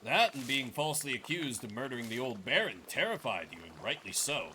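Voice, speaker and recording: Game role: kindly, playful ex-monk, done by a man. Mid pitch, lively melody, unhurried teasing delivery. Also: slight background noise. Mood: reflective. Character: kindly, teasing former monk, devoted husband.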